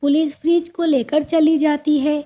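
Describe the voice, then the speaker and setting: A woman narrates a scripted cartoon story. Her voice is very high and mellow, and she takes the lines at a slow pace. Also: flat delivery.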